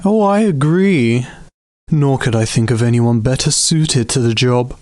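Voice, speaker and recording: A man's voice: mid-range voice, unhurried, a little mellow, no room sound, studio quality, natural voice. An actor playing an agreeable, deferential council nobleman in an audio drama, speaking formally and obligingly. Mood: agreeable, businesslike. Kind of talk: scripted audio drama acting.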